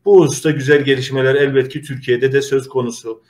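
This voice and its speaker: A middle-aged man, hosting an online community show in an earnest, halting, polite way. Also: mid-range voice, level tone, darkish tone. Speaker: host of a community video show. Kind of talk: informal presenting to an online audience.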